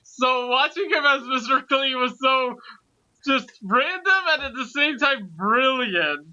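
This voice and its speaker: A man's voice: very high-pitched, expressive, unhurried, bright, forceful tone. A man co-hosting a casual group chat in an enthusiastic, rambling, slangy way, on a dull lo-fi recording. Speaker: co-host.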